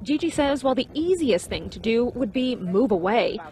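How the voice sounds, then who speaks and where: A woman's voice: on the high side, lively intonation, normal speed, background noise. A woman reporter reading scripted narration for a television news report.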